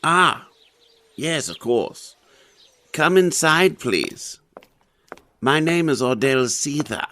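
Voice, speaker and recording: Man playing a theatrical, slow, eccentric cult leader. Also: on the high side, very animated, sharp tone, dry recording, heavily acted. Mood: coy, courteous. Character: eccentric, eerily courteous cult leader, fanatically reverent. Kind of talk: theatrical audio drama acting.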